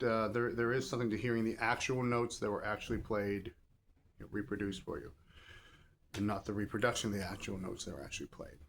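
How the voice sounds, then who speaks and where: Man chatting off the cuff over background noise. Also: mid-range voice. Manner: rambling, pausing mid-thought to rephrase. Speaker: record shop seller and host. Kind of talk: casual off-the-cuff review talk to viewers. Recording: clear background noise and ambience.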